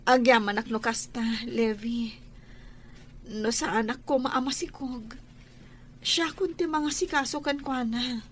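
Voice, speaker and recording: A woman's voice: fairly high pitch, fairly even intonation, measured pace, pauses often, lightly acted. A woman acting as a worried, grateful pregnant wife who speaks deferentially in a radio drama. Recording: noticeable background ambient noise.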